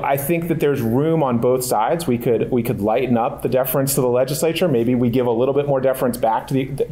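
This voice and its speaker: A man speaking as a panelist at a legal discussion, his voice at an ordinary middle pitch. He works through the answer aloud, pausing on fillers and restarting phrases as he goes. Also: a little mellow. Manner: thoughtful, many fillers and restarts.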